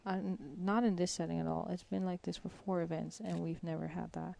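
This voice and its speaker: A woman doing live bowling commentary, quiet and measured, breaking down lanes and ball choices. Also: lowish voice, little pitch movement, vocal fry. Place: bowling arena with crowd. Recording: crowd and noise behind.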